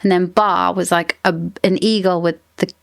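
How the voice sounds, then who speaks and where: A woman hosts a casual book podcast, musing out loud and circling back with "like" and "I don't know" as she works out her ideas. Her voice sits a bit low, and the recording is close and dry. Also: fairly even intonation, normal speed, vocal fry, no echo. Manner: thinks aloud, rambling, lots of "like" and hedging.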